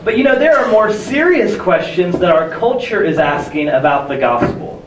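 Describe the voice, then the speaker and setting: Hoarse young man preaching. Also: sits high, lively intonation, normal speed, crowd noise. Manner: conversational, self-deprecating, many restarts. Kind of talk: sermon. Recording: echoey room from far off with faint ambience.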